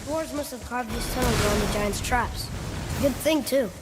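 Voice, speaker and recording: A man's voice: very high-pitched, moderate pace, over loud music. A young male performer playing a curious, chatty, cheeky boy in a video game, with a light youthful voice and a casual, wry way of talking. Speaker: child companion character in an action game. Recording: echoey, reverberant game audio with loud noise.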